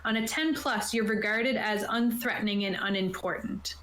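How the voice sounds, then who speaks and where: A woman's voice: medium pitch, moderate pace, fairly bright tone. A woman casually explaining game rules on a tabletop stream, reading aloud and then putting it in informal plain terms. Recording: roomy, echoey sound.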